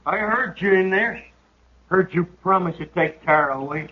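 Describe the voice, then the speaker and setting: A man's voice: high-pitched, moderate pace, breathy edge. Jumpy young gunman on old radio. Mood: threatening. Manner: tense, blustering threats that crack into pleading. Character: young frontier man, jealous, cowardly, threatening. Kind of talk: 1950s radio drama acting. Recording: muffled old radio transcription.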